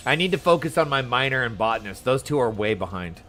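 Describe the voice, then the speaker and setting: A man's voice: mid-range voice, animated, fast talker, fairly bright tone, slight background noise. A man chatting casually while live streaming a game, giving loose commentary as he plays. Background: game music.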